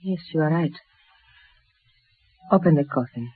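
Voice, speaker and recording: A thin vintage radio recording of a cool, aristocratic widow. Her voice is husky, and she speaks formally, with great restraint. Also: low voice, unhurried, heavily acted. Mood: guarded, composed. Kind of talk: 1950s western radio drama acting. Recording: old radio broadcast, narrow band.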